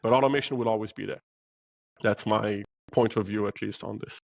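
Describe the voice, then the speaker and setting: A man from Morocco speaks as a podcast guest, explaining his view earnestly with many small fillers. His voice sits on the low side, and the recording sounds dull and lo-fi with almost no room sound. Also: steady tone, a little fast, vocal fry, dry recording. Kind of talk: podcast interview.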